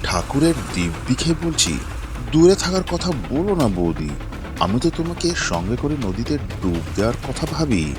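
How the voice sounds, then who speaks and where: A man's voice: medium pitch, very animated, normal speed, natural voice. A man playing a cocky, lecherous village gang leader in a cartoon, with a swaggering way of talking. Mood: leering. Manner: swaggering, repeats an oath catchphrase. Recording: music playing behind the voice.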